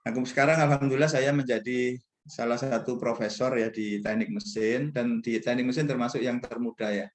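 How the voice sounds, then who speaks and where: A man's voice: mid-range voice, steady tone, brisk. A man gives an informal religious lecture to students as a professor, in a conversational, rambling, self-deprecating way. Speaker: university professor speaking as guest mentor. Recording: echoey, roomy sound.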